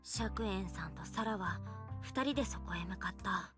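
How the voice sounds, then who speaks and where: A woman's voice: mid-range voice, quick pace, close to an everyday voice. A woman voicing a thoughtful, inquisitive young woman in an anime game, musing conversationally. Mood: pensive. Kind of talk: Japanese anime game voice acting. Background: game music.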